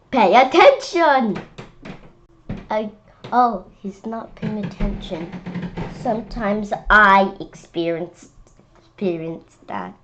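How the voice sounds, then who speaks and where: Female, young, school-age, picture book read-aloud, chatty commentary, expressive, unhurried pace, muffled lo-fi recording. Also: medium pitch, a little hiss or hum. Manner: expressive, calls out quoted lines loudly.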